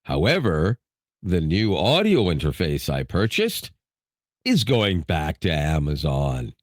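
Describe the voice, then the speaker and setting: Lively, teasing podcast co-host man. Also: takes it slowly, no room sound, studio quality. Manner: animated, teasing, jumps up in pitch when ribbing. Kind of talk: casual podcast chat. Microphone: studio microphone.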